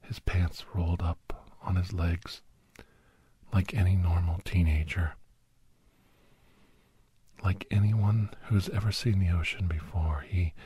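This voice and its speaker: A man playing a weary, confiding doctor who hunts shapeshifters, telling his story in a hushed, halting, breathy ASMR style. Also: sits low, no echo, full character voice. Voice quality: breathy, often whispered.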